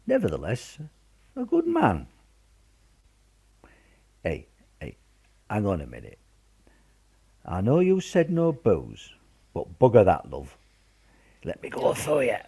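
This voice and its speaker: A man's voice: lowish voice, huge pitch swings, takes it slowly, gravelly, long pauses, mellow, breathy edge, heavily acted. A man playing a blunt, affectionate working-class British father in a wartime radio play. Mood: hearty, insistent.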